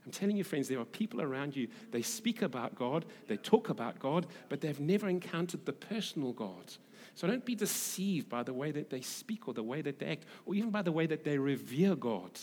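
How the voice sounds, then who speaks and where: A man from Durban with a South African English accent, preaching as a pastor in a conversational, halting way with repeated phrases for emphasis. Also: on the high side, very animated, a little mellow, slightly rough. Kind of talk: sermon with scripture reading and conversational asides. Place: large reverberant hall, preaching to a congregation. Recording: echoey hall with long reverb.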